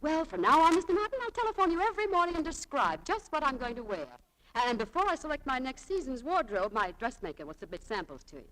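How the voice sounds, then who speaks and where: Young shop girl, chatty and spirited, high voice, swooping intonation, old radio drama. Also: big pitch swings, fast, a bit bright, rough recording. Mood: indignant. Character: chatty, spirited young saleswoman, quick to take offence. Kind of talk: 1940s radio acting. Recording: old 1940s radio broadcast.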